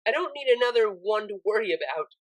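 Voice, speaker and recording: Troubled young unicorn mare, played by a woman, storybook character, guarded, curt and pleading, with animated pitch. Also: a little fast, lightly acted, poor audio. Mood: guarded, upset.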